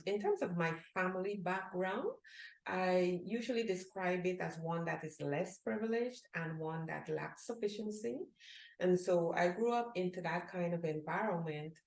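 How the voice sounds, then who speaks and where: A woman's voice: deep voice, a little mellow. A middle-aged woman from Guyana, an author answering interview questions in an earnest way. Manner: earnest, reads a book blurb aloud. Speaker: author and guest. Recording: echoey room.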